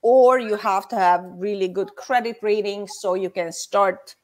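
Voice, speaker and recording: A woman's voice: medium pitch, unhurried, a little mellow. A woman in her fifties from Hungary with a Hungarian accent, a guest explaining things step by step in a casual chat.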